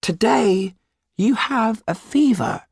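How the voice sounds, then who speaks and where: Tiny weary male audiobook character, very high squeak, husky, slow and deliberate. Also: expressive, darkish tone, no echo, clean studio sound, full character voice. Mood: weary, grim.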